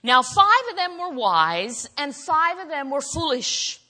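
A woman preaching a sermon in a church, with a naturally loud, earnest voice that she says hardly needs the microphone. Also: high voice, big pitch swings, unhurried. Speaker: guest preacher. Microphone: handheld or stand microphone. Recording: church hall reverb.

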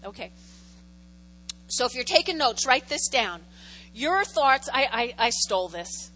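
A woman's voice: fairly high pitch, big pitch swings, a little slow, sharp tone, low-quality recording. A woman pastor preaching a sermon in a conversational way, repeating key phrases for emphasis and making jokey asides to the congregation.

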